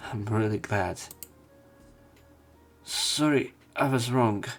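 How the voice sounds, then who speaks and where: A man narrating a visual novel playthrough, reading story text and dialogue aloud with some halting pauses. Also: lowish voice. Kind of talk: scripted narration and character line reading. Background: game music, keyboard typing.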